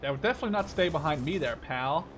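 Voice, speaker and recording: Young guy in his 20s doing gameplay commentary, kinda high voice, jokey and slangy, engine noise behind him. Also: expressive, fast talker, a bit bright. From Canada. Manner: self-deprecating, joking, slangy. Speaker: gaming video host. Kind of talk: casual live gameplay commentary. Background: game vehicle sounds.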